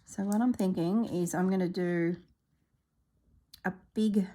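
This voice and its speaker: A woman narrating her crafting work in a soft, relaxed voice, sometimes dropping to a whisper, congested and clearing her throat. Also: fairly low pitch, measured pace. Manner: soft-spoken, often drops to a whisper while working. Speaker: craft video maker.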